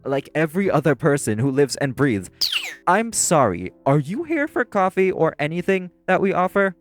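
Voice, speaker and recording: An actor playing a primly formal, sarcastic, exasperated waiter in an audio roleplay. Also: male voice, a little high, sing-song, normal speed, music in the background. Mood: strained politeness.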